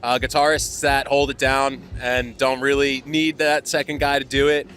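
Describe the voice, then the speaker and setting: A man's voice: medium pitch, level tone, normal speed, a bit bright. A man from New Jersey, a band's guitarist, answering interview questions in an easygoing way full of "like" and "um" at an outdoor festival. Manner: easygoing, filler-heavy. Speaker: band guitarist.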